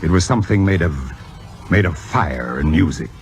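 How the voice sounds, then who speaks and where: An actor playing an urbane, smug theater critic with a pompous, droll, precise delivery in 1950s radio drama style. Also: male voice, low voice, animated, normal speed, soft background music. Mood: smug, lofty. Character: urbane, waspish male theater critic, smugly needling.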